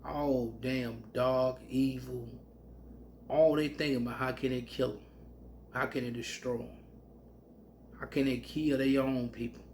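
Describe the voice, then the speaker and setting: Man, gravelly, mid pitch, informal sermon, country speech, animated whoops. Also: measured pace, long pauses, a little hiss or hum. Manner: animated, bursts into loud whoops; colloquial Southern speech. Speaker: preacher. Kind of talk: informal scripture teaching.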